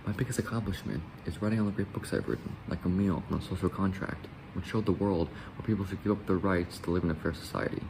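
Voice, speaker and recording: Brief: a man voicing a vain philosopher, very deep voice, quick read. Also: vocal fry, background noise. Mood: proud. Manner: read-aloud, self-praising. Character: self-important male philosopher.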